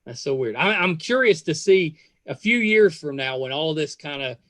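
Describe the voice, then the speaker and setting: Casting: chatty male interviewer in his fifties, self-deprecating storyteller, very animated pitch, close dry sound. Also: normal speed, dry recording. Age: 50s. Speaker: podcast host. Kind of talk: casual interview chat with anecdotes.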